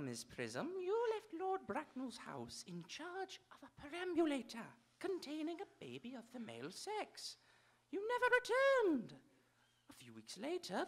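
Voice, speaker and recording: A woman playing a haughty, imperious older aristocratic aunt in live radio theatre, with pompous, drawn-out declamation. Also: high-pitched, full character voice.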